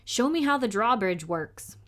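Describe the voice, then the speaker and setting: A woman's voice: on the high side, lively intonation, unhurried, a bit bright, voice pushed up. A woman voicing a curious, chatty young schoolboy in a storybook reading, asking things in a direct way. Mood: eager. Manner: inquisitive, direct.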